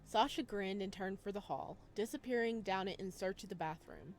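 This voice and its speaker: A woman hosting a visual novel let's play, playful and expressive as she chats and reads aloud. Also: medium pitch, normal speed. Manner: playful, expressive reactions, addresses viewers. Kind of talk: visual novel playthrough, chatty commentary and read-aloud narration.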